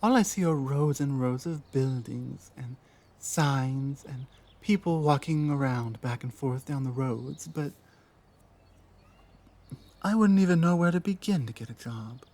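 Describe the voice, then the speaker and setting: Give the part of a lost orc in an ASMR audio drama to a man acting it out. He is honorable and humble, with a medium-pitched voice. He speaks very slowly and haltingly, with an earnest, softly pleading manner.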